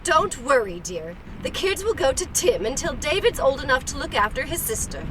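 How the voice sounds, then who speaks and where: A woman's voice: high-pitched, very animated, moderate pace, noisy background. A woman playing a practical, determined wife and mother in a comic radio play, pointed and chiding.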